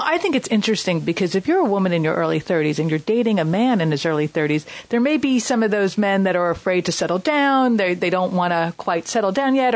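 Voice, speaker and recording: A woman's voice: medium pitch, no room sound. A woman co-hosting a dating chat, asking questions in a playful, teasing manner and laughing often. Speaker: co-host. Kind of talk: casual chat.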